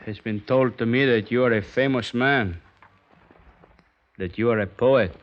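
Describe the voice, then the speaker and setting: An actor playing a proud, brooding Mexican guitarist with a Mexican Spanish accent, in a 1950s radio drama. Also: male voice, mid-range voice, dull audio, natural voice. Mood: guarded. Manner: slow, grave, challenging. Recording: vintage 1950s radio broadcast.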